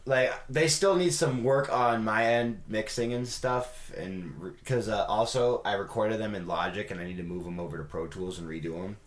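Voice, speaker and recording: A raspy-voiced young man hosting a podcast in his home studio, chatting loosely with lots of fillers and laughs. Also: fairly low pitch, normal speed, hardly any pauses, reverberant. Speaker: podcast host and singer. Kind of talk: casual chat.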